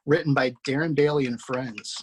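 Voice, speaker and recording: A man hosting and narrating a screenplay table read, relaxed and chatty, with many fillers. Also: mid-range voice, moderate pace, slight background noise. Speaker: writer and host.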